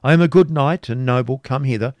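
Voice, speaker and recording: A man's voice: medium pitch, brisk, darkish tone, no room sound, voice pushed up, natural voice. A man voicing a bold, weary champion knight who makes a ringing, formal challenge. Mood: defiant.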